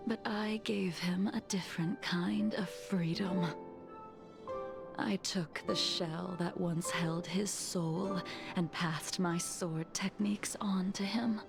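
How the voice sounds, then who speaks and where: A cool, distant sword master speaks in a breathy half-whisper with a gravelly edge. Her words come out unhurried and measured, sitting low for a woman. Game music plays underneath. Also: steady tone. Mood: cold, reflective. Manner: slow, measured, detached delivery.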